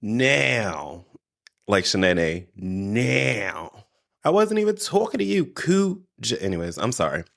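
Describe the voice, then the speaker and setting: A man's voice: fairly low pitch, big pitch swings, slow pace, creaky, fairly bright tone. A man hosting a casual solo podcast, congested from allergies and a little nasal, chatting directly to his listeners. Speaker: podcast host.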